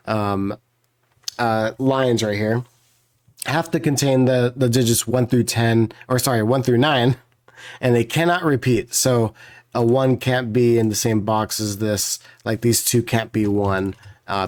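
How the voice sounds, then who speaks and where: A man with a hoarse, strained voice talks through a puzzle video as its host. He explains casually, rambling with lots of fillers and restarts, and keeps apologizing. His pitch sits at an ordinary middle height for a man. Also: a little mellow.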